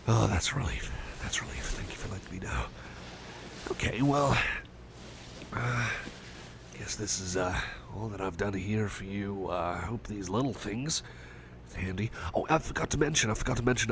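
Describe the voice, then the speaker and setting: A man playing a shy, caring, anxious friend in an intimate ASMR roleplay, speaking softly and hesitantly with stammers and gentle, murmured rambling. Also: lowish voice, gravelly, slight background noise.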